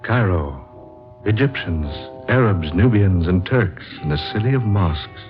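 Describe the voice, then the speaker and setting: Deep-voiced solemn traveler, old radio. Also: male voice, animated. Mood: solemn, reflective. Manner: solemn, deliberate, literary recital. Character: earnest, searching male traveler narrating his world-wandering diary. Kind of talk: 1940s radio acting, scripted narration. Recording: dull vintage radio recording with music. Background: music.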